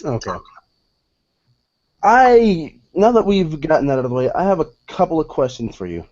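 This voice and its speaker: A man co-hosting a casual wrestling chat who keeps pressing his own take on the storylines. His voice is mellow and warm, and the recording sounds dull and lo-fi. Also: a little high, big pitch swings, moderate pace. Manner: insistent, eager to argue his point.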